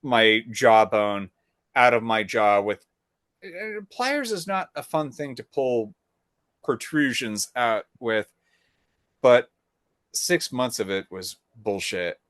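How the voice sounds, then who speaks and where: A man co-hosting a podcast, telling an animated story in casual chat and acting out the dialogue. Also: medium pitch, takes it slowly, no echo, studio quality. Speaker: podcast co-host.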